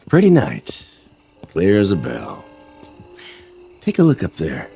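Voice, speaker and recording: A man acting in a radio drama as a sly, suspicious coworker who talks in an insinuating, deliberate, falsely casual way. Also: deep voice, huge pitch swings, vocal fry, dark tone, husky, faint music behind, full character voice. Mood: pointedly casual. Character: sly, suspicious male coworker probing his neighbours with veiled menace.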